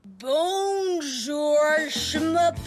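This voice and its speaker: An earnest cartoon exchange boy from England with an English accent. The delivery is slow and swoops in pitch, with halting foreign grammar over background music. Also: animated, full character voice. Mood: friendly.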